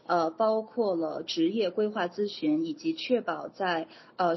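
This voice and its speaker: A woman working as an academic advisor interprets the talk, speaking steadily with frequent filler pauses. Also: fairly low pitch, husky. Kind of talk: consecutive interpreting. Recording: dull lo-fi audio with faint noise.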